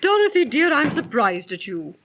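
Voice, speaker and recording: An actress playing a proper, precise, genteel aunt who scolds gently and tells tales, 1930s radio drama style. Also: female voice, high-pitched, big pitch swings, moderate pace, background noise. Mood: gently reproving. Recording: dull, lo-fi 1930s radio recording.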